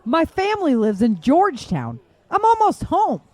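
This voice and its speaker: A weary survivor woman speaks earnestly with lively, varied pitch. The sound is close and dry. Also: moderate pace, no echo.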